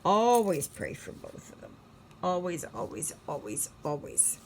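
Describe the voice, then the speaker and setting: A woman in her sixties from Canada hosts a stitching channel at home. She chats casually while she works, slow and reflective, rambling with many fillers and murmuring softly to herself. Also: on the low side, big pitch swings, slight background noise. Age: 60s.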